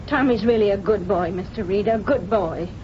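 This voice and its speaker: A woman's voice: medium pitch, moderate pace, natural voice. An actress playing a worried, pleading American mother, earnest and plaintive, in an old radio drama. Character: worried, pleading working-class mother of teenager. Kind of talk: 1930s-40s radio drama acting. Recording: noisy, muffled old radio recording.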